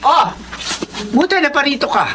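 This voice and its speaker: A woman's voice: sits high, very animated, normal speed, background noise. A woman acting a middle-aged, domineering wronged wife and mother in a melodramatic radio drama, scornful and confrontational, slipping into English.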